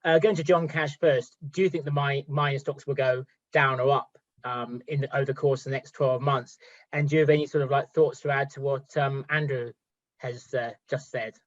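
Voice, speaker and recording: A man's voice: medium pitch, fairly even intonation, normal speed. A man moderating an online panel, asking loose, chatty questions with fillers. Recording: dull lo-fi call audio.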